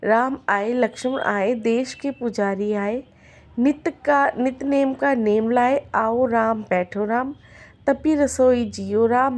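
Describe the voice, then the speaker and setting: A hoarse woman narrates a devotional folk tale, slipping into rhythmic chanted refrains. Her voice sits somewhat high for a woman, with a worn, rough edge. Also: darkish tone. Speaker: devotional storyteller.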